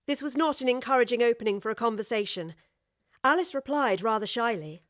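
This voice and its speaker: A woman narrating a scripted storybook audiobook in a measured, articulate way. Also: fairly high pitch, no echo, studio quality.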